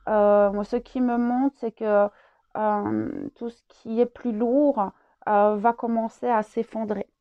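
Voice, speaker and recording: A woman's voice: on the high side, expressive, slow, lo-fi sound. A French woman with a head cold, channeling a spiritual message, hesitant with many fillers and repeated words.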